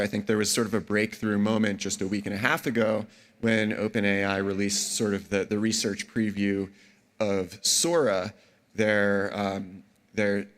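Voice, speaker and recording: A man with a cold giving an energetic conference talk, with frequent fillers and restarts. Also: fairly low pitch. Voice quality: a bit congested. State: under the weather, has a cold. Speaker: conference speaker.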